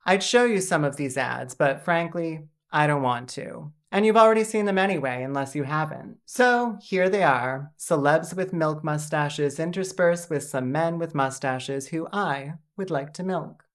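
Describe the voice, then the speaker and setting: Deep-voiced woman doing sarcastic scripted video essay narration, deadpan jokes. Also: a little slow, few pauses.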